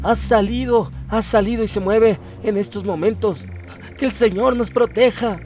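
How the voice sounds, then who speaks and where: A man's voice: high voice, a little mellow, a little hiss or hum, voice pushed up, natural voice. A man playing a terrified villager who gasps out broken, panicked cries in a horror radio drama. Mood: panicked terror. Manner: broken, gasping, drawn-out cries.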